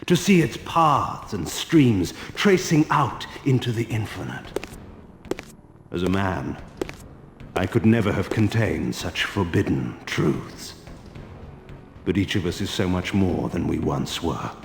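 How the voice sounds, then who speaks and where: An actor playing an ancient, cold, mocking vampire lord in a video game, speaking in slow, grandiose pronouncements. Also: male voice, low-pitched, expressive, slight background noise, exaggerated voice. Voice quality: gravelly, theatrical. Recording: roomy hall reverb, game score behind.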